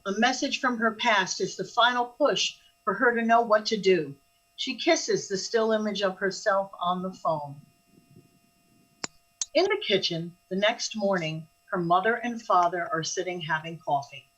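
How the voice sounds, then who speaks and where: Slightly husky woman narrator. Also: mid-range voice, expressive, unhurried, fairly bright tone, highs cut off. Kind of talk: scripted radio drama narration in present tense.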